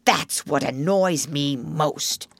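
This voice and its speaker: A woman's voice: deep voice, slow, vocal fry, dry recording, heavily acted. A woman voicing a grumpy, plain-spoken male mole in a storybook, blunt and emphatic.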